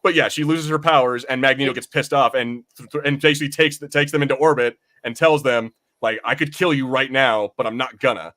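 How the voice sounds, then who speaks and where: A man's voice: mid-range voice, fairly even intonation, fairly quick, few pauses, fairly bright tone. A man co-hosting a podcast in casual fan review chat, rambling and swearing freely. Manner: profane, rambling. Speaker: podcast co-host.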